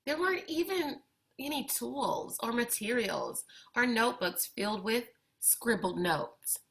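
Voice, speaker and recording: A woman reading a children's picture book aloud with deliberate, expressive phrasing. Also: fairly high pitch, gravelly. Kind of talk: read-aloud storytelling for children.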